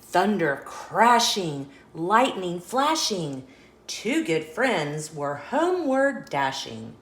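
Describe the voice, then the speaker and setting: A woman reading a children's picture book aloud as an expressive, measured storyteller. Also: on the low side. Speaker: storyteller, host of a children's read-along show. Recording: roomy echo.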